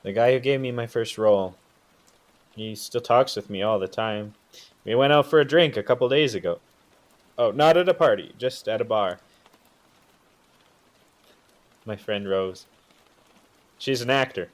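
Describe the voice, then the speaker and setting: A man acting a troubled, defensive, self-pitying brother in an audio drama, speaking in halting, broken phrases. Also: medium pitch, lightly acted. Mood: subdued.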